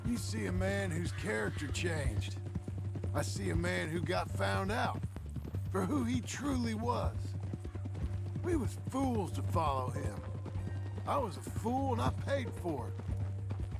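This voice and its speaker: A gravelly weary ex-outlaw. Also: male voice, high voice, animated, measured pace, long pauses, full character voice. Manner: laconic and wry. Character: weathered ex-outlaw rancher, middle-aged and world-weary. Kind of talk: video game cutscene acting. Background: game music and horse hooves.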